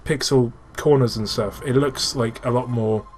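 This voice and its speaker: A man's voice: mid-range voice, steady tone, normal speed, a little mellow, breathy edge. A man giving casual, informal gaming commentary as a let's play host, swearing freely and explaining technical details.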